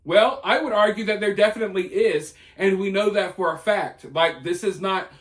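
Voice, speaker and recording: A man's voice: high voice, normal speed. An American man giving opinion commentary to viewers in an emphatic, conversational manner, often rhetorical. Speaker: online news commentator.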